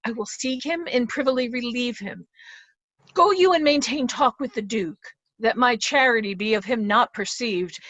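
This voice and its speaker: A woman playing a secretive, anxious, loyal nobleman in an amateur reader's theatre Shakespeare drama, speaking in a measured, confiding way. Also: a little high, a bit bright, dry recording, lo-fi sound. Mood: secretive, urgent. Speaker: amateur stage actor.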